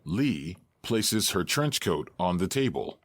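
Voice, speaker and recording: A man's voice: deep voice, big pitch swings, slow pace, no room sound. A man narrating the stage directions of an audio drama in a detached, matter-of-fact manner.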